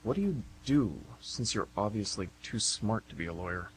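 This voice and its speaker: A man's voice: on the low side, expressive, normal speed, close to an everyday voice, poor audio. A man playing a sarcastic, laid-back, unemployed slacker in an amateur radio comedy, mocking and casual. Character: sardonic, unemployed slacker guy. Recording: hum and faint background noise.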